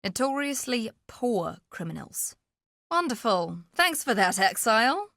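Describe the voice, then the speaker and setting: An actress playing a shrewd, greedy treasure hunter in a video game, telling tales theatrically with dry, sardonic asides. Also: female voice, fairly high pitch, sing-song, unhurried, a bit bright, no echo, full character voice. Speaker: video game character voice actor. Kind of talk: scripted game character dialogue.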